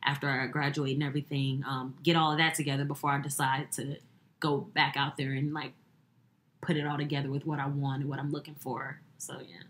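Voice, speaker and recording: A woman's voice: extremely low pitch, little pitch movement, brisk, fairly bright tone, slight background noise. A woman in her twenties talking casually and reflectively about herself as a podcast guest. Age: 20s. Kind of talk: casual, reflective personal talk. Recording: roomy, echoing indoor sound.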